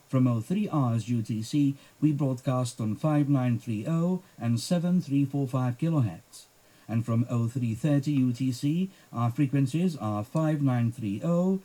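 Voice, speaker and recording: A male radio announcer with a mellow, relaxed tone and medium pitch reads station schedules. His delivery is formal and measured, each item carefully enunciated. It sounds like a 1990s shortwave archive recording. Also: rough recording.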